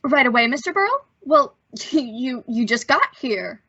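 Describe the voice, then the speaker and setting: Woman playing bashful teen boy, nervous stammer, earnest, bright higher voice, old-time radio style, dull recording. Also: lively intonation, husky, heavily acted. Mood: sheepish. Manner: halting, nervous stammer with sheepish delivery.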